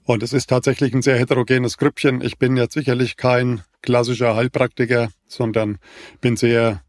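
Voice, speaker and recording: A man in his fifties, a naturopath co-hosting a podcast, explaining in a measured, even way with frequent fillers. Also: medium pitch, dry recording, studio quality. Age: 50s. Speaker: naturopath and co-host. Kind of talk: casual podcast conversation.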